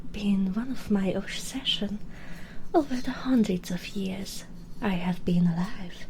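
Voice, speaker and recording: A woman playing a sadistic, vengeful, centuries-old vampire in an intimate ASMR roleplay, taunting condescendingly in breathy half-whispers on a close binaural mic. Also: medium pitch, darkish tone, a little hiss or hum, rough recording. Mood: menacing. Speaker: audio roleplay performer. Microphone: close binaural microphone.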